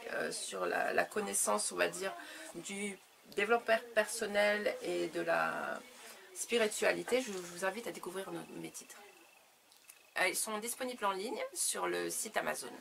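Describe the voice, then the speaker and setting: A woman speaking her native French as an author in an interview, reflective and halting as she searches for words. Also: medium pitch, creaky, a bit bright, slight background noise. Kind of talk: reflective interview answers about own work.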